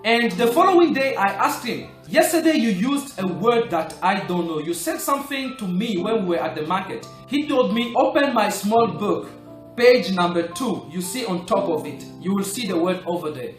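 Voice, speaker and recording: Man, French native, high voice, earnest coaching tone, roomy echo, faint music underneath. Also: expressive, normal speed. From Republic of the Congo. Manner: earnest, coaching, stresses key points. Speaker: language-learning vlogger. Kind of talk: motivational talk to camera.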